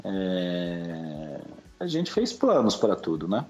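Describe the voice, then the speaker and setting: A man's voice: deep voice, very animated, takes it slowly, mellow. A Brazilian man, middle-aged, speaking Brazilian Portuguese as a podcast guest, casually recounting his own experiences. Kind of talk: casual interview, personal recollection. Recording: slightly dull, lo-fi sound.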